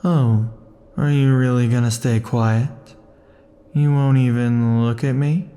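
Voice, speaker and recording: A man voicing an obsessive, possessive male immortal in an ASMR roleplay, talking close to the mic in a slow, intimate, brooding way. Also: medium pitch, fairly even intonation, mellow, natural voice. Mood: mocking. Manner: slow, intimate, brooding delivery with sudden furious outbursts.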